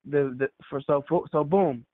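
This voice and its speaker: Over a narrow, tinny phone line comes an American man with a slightly high voice. He speaks informally and with plenty of slang, and the sound is dry, with no room around it. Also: normal speed, no echo. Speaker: music video videographer on a call. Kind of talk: casual phone chat.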